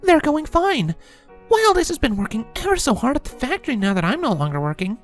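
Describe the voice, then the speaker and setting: A sociable young pegasus mother from a storybook, acted out by a man. The falsetto sits very high and has a friendly, chatty manner. There is faint music underneath. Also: big pitch swings, brisk. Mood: fond, chatty.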